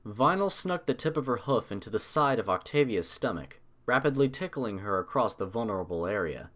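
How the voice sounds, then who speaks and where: Animated story narrator with lively intonation. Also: medium pitch, fairly quick.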